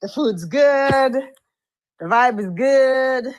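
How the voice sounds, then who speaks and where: A woman from Antigua talking on a live radio chat show, telling stories with quick restarts. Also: high-pitched. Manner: animated storyteller, stumbling restarts.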